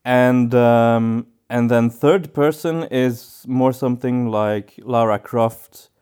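A man co-hosting a casual podcast, speaking in a halting way as he thinks aloud. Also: mid-range voice, steady tone, darkish tone, clear, resonant tone. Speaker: podcast co-host.

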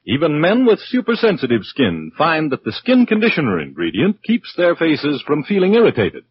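A man's voice: fairly high pitch, wildly swooping pitch, normal speed, dull audio, a little hiss or hum. A man announcing and reading commercials in 1940s radio style, on an old recording.

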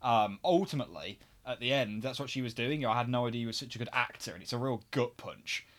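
A man co-hosting a podcast, chatting casually and jokily through an episode recap, slipping into animated quotes. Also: medium pitch, fast talker. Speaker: podcast co-host reviewing sitcom episodes.

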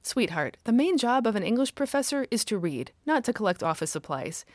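Woman reading a calm, firm mother. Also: a little high, a little fast, dry recording, pitched above the performer's own voice. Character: patient, firm mother, a professor.

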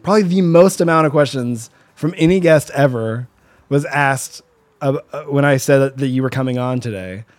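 A man hosting an interview, chatty and excitable, with lots of "like" and "um" as he talks. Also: medium pitch, normal speed, no room sound. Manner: chatty, excitable, many fillers. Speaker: podcast host. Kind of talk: casual interview.

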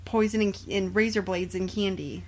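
A woman's voice: mid-range voice, moderate pace, darkish tone. Sardonic woman podcaster telling true crime. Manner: sardonic, profane asides. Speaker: podcast co-host. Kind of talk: casual true crime chat, reading out stories.

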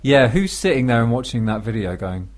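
A man's voice: medium pitch, animated, fairly quick. A British man co-hosting a radio comedy show, offering dry, brief interjections in casual banter.

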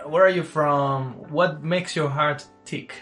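A man hosting a faith podcast. He chats easily, asks his guest open questions and slows down to read scripture. Faint music sits under his voice. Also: on the high side, steady tone. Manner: warm welcoming, asks questions, reads scripture slowly. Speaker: podcast host. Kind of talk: faith podcast conversation and Bible reading.

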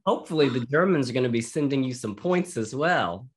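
Very low-voiced, husky woman interviewer. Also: expressive, fairly quick. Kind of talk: friendly interview questions.

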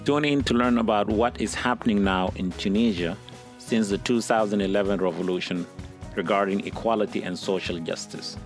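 A man's voice: lowish voice, a little fast. A man hosting an interview podcast, reading a formal, prepared introduction to the show and his guest. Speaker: podcast host. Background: soft music bed.

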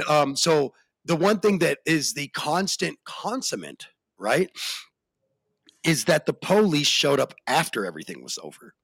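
Gravelly deep-voiced American man. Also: dry recording. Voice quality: deep bassy voice. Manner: animated, slangy, swings pitch for emphasis. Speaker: podcast host. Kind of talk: casual podcast chat.

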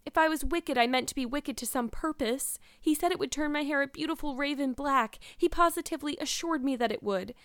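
A woman's voice: sits high, a little fast, hardly any pauses, fairly bright tone, no echo, studio quality, pitched above the performer's own voice. A woman voicing a despairing, wordy young girl in a storybook, pleading and earnest in a melodramatic way. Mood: earnest, defensive.

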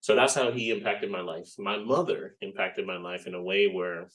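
A man in his forties from Rico, a Spanish speaker, telling his story as an interview guest in a reflective, unhurried way. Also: low voice, fairly even intonation, fairly bright tone, low-quality recording. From Puerto Rico. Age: 40s. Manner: reflective, unhurried storytelling with frequent "you know". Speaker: guest, game developer. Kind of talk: casual interview chat.

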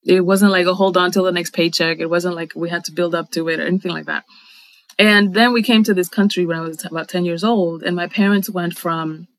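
A woman's voice: lowish voice, no room sound, rough recording. A middle-aged woman from the Dominican Republic, a guest in an interview, talking thoughtfully and articulately with frequent fillers and restarts. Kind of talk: reflective conversational interview.

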